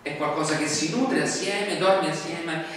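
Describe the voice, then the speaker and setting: A man's voice: on the high side, measured pace, fairly bright tone. A man, conversational, with rhetorical questions and frequent fillers. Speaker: pastor. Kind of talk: sermon. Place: small church hall, indoors. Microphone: distant camera mic. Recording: echoey hall from far off with faint noise.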